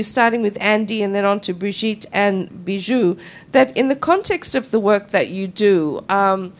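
A middle-aged woman moderating a conference panel, introducing the speakers in a measured way with frequent ums, speaking into a handheld microphone in a conference room. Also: mid-range voice.

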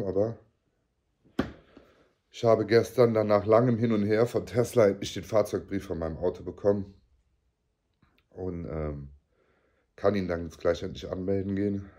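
A man in his forties with a cold, talking casually and excitably into a phone camera held at arm's length. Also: low-pitched, no echo. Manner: chatty, excitable, swears casually. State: has a cold. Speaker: vlogger. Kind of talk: casual vlog. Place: living room, then car park and car interior.

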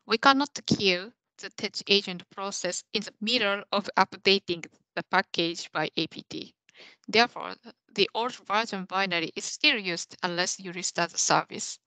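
A woman's voice: mid-range voice, sharp tone, clear, resonant tone, no room sound, poor audio. A young adult woman giving a technical demo on a video call, explaining things step by step. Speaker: presenter of a software demo. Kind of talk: technical presentation with live demo. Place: small indoor room, on a video call.

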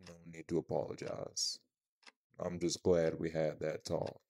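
An actor playing an imposing, protective vampire man in an audio roleplay, dry-witted, haughty and measured, with a gravelly voice. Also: a bass voice, completely flat delivery, dark tone, a little hiss or hum, exaggerated voice.

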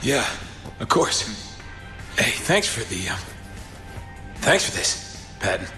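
Wry, slow-talking zombie survivor, swinging pitch. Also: male voice, big pitch swings, exaggerated voice. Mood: friendly. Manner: wry, sarcastic, swearing. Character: wisecracking, exasperated male zombie-apocalypse survivor. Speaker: player character in a zombie action game. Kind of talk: cinematic game voice acting. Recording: loud game music underneath.

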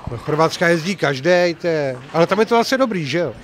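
A man's voice: fairly high pitch, lively intonation. Older man hosting a bowling event, jokey. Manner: jokey, chatty, teasing. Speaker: tournament host and reporter. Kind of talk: event report and interviews. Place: large bowling alley, indoors. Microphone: handheld mic. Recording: faint hall noise.

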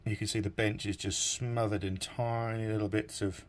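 Low-voiced man, thinking aloud. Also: level tone, normal speed. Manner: informal, hesitant, thinking aloud. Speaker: radio restorer presenting a repair video. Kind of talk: casual workshop commentary. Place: small indoor workshop at a bench.

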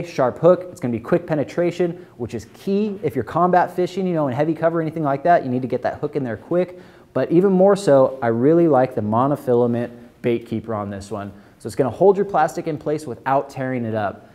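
A man's voice: on the high side, mellow. A young man presenting new fishing tackle in an upbeat, salesy rundown, recorded indoors in a tackle store on a lapel mic. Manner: enthusiastic, salesy, quick filler-laden delivery. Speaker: tackle shop product presenter. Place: indoor tackle store aisle with a wall of lures.

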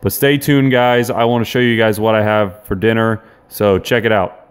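Brief: young male fitness vlogger, slightly low voice, fast casual chat, camera at arm's length. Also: fairly even intonation, smooth. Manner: quick, chatty, loose with fillers. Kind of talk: casual vlog narration. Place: in a car, then gym voice-over, then kitchen. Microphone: camera held at arm's length.

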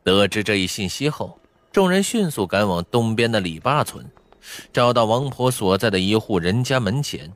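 Low-voiced, expressive male audiobook narrator. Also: normal speed, no echo. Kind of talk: scripted dramatic fiction narration. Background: faint background music.

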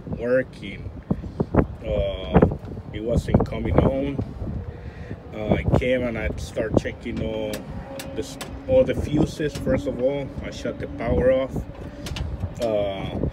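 A man, an HVAC technician, talking through a repair outdoors at a rooftop unit, thinking aloud with fillers and restarts, recorded close on a handheld camera. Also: medium pitch. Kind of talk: hands-on troubleshooting walkthrough. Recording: loud outdoor wind and traffic noise.

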